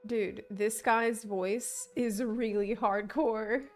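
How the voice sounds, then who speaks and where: A woman's voice: a little high, fairly even intonation, slow pace, soft background music. A woman game streamer giving casual live reactions in an informal, slangy, exclamatory way.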